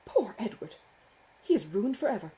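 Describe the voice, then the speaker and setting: A woman voicing a foppish, self-satisfied young gentleman in a classic novel, with an affected, gushing, condescending manner. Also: mid-range voice, very animated, normal speed, pitched above the performer's own voice, close to an everyday voice. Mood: mock concern. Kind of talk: dramatised audiobook dialogue from a classic novel.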